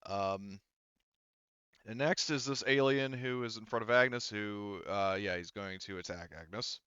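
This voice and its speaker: Slow, halting game master, clean-voiced man. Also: lowish voice, pushed and bright, clean tone, dry recording, highs cut off. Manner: hesitant, thinking aloud while working out rules. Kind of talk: running a tabletop roleplaying game, casual.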